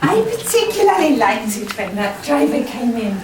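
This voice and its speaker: A woman's voice: a little high, very animated, normal speed. A woman playing a tipsy, joking musketeer in an amateur comic pantomime, with a theatrical manner. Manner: jokey, theatrical. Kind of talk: amateur pantomime stage acting. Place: indoor amateur stage performance. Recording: echoey hall from far off, noisy.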